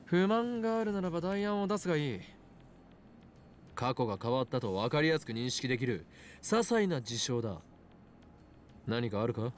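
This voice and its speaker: A man's voice: mid-range voice, very animated, quick pace, pauses often, lightly acted. A man voicing a pompous, argumentative young self-styled mad scientist in an anime visual novel, theatrical and imperious, over noisy game audio.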